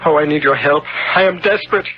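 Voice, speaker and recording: A man acting a desperate, guilt-ridden young gentleman in a 1970s radio drama, theatrical and stammering, on an old radio recording. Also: a little high, quick pace, muffled recording, background noise, close to an everyday voice. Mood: anxious, confessing. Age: young adult.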